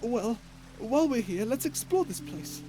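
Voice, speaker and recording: A performer voicing a shy, gentle and curious octopus traveller in an ASMR story roleplay, timid and stammering. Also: medium pitch, animated, moderate pace, music in the background, lightly acted. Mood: shy, thoughtful.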